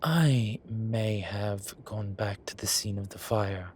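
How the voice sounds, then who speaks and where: A man voicing a suave demon professor, male, lowish voice, unhurried drawl, posh, smug, wry, audio roleplay. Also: a little hiss or hum. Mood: conspiratorial. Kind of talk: scripted audio roleplay acting. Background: ambient sound effects.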